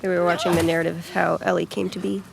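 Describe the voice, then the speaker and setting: A woman's voice: lowish voice, flat delivery, very fast, creaky, crowd noise. A woman giving casual commentary on a game, talking in a conversational, analytical way.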